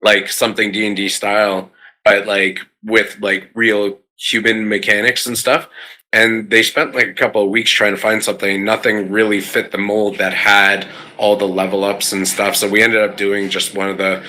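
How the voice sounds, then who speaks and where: Low voiced guy, crisp forceful tone, just chatting casually on a gaming show. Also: flat delivery, normal speed. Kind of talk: casual chat.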